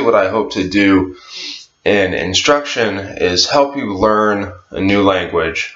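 A young man, introducing himself to camera as an online English tutor, earnest and halting with many fillers, recorded on a webcam in a small bedroom. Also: low voice, a bit bright. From Atlanta, Georgia. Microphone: webcam at desk. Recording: echoey room, dull lo-fi webcam audio.

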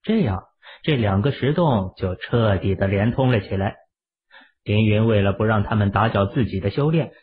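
A man narrating a novel as an audiobook in an expressive storytelling manner. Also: fairly low pitch, slightly rough. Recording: tinny phone-like audio.

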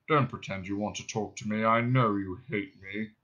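A man voicing a deeply depressed, self-pitying robot in an audiobook, in a muffled, dejected drone. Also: steady tone, sharp tone. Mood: gloomy, dejected. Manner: dejected, slow, gloomy delivery. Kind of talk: audiobook character voice.

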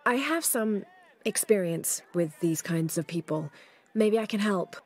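A woman playing a composed, quietly determined young adventurer and researcher in a video game cutscene. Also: medium pitch, expressive, measured pace, husky. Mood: calm, sympathetic.

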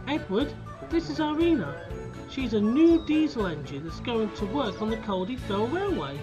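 Young male tank engine, played by a woman, eager, chatty, lively voice, music behind. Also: on the high side, normal speed, highs cut off. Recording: music underneath.

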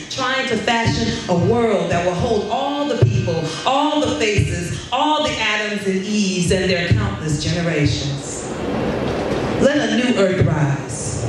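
A woman poet in her thirties reciting at a live reading in a measured, emphatic, incantatory cadence. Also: mid-range voice. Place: indoor gallery space with seated audience. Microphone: stand microphone, recorded from camera at a distance. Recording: echoey room, distant mic, background noise.

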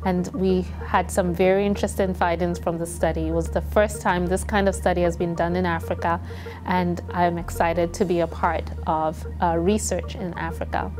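A woman's voice: fairly low pitch, little pitch movement, normal speed, music in the background. A young woman from Zambia, a medical researcher, speaking earnestly in a documentary interview.

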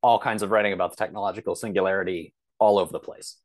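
A man's voice: sits low, fairly even intonation, very fast. A man giving conversational interview answers as a podcast guest, explaining things in a thoughtful, hesitant way. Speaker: podcast guest, author and computer science instructor. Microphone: video call.

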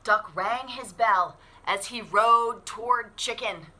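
A woman in her forties reading a picture book aloud to children in an expressive, deliberate storytelling way. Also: a little high, slight background noise. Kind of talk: animated children's read-aloud.

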